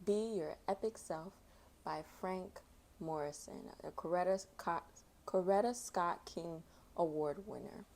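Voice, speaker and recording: A woman hosting a storytime, reading aloud for children in an expressive way and chatting casually between passages. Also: fairly low pitch, measured pace. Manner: expressive, acts out sound effects and exclamations. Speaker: storytime host reading a picture book.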